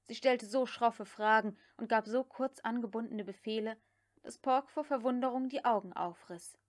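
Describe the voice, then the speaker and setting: A woman narrates a novel with a somewhat high voice. She reads scripted literary prose in the style of an unabridged audiobook. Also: normal speed.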